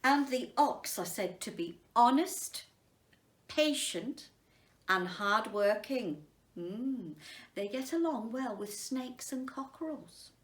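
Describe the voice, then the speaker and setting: Slow, higher-voiced woman in an echoey room. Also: lively intonation. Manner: unhurried, addressing children directly. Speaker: headteacher reading to children. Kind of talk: children's storytime reading and explaining. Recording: roomy echo, distant mic.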